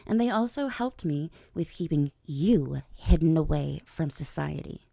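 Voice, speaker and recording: Vampire queen , played by a woman: haughty and sardonic, lowish creaky voice, condescending audio-drama delivery. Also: animated, unhurried, warm tone, dry recording, exaggerated voice. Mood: matter-of-fact, sly. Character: haughty, powerful vampire queen, teasing and world-weary. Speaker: voice actor in an audio roleplay. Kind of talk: ASMR audio drama acting.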